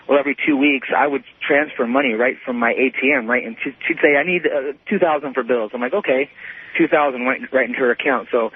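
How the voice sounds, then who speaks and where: Husky, halting man on a muffled call. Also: medium pitch, no echo. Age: 30s. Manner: halting, repetitive, peppered with "you know". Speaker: radio show caller. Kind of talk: unscripted personal account on a call-in show. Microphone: telephone. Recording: muffled lo-fi phone call to radio.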